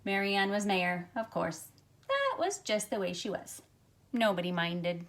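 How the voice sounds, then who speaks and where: A middle-aged woman reading a picture book aloud to young listeners in an expressive, measured storytelling way. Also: mid-range voice. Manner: expressive, measured storytelling with drawn-out phrases and softened asides. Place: small carpeted room at home, indoors. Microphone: phone at arm's length.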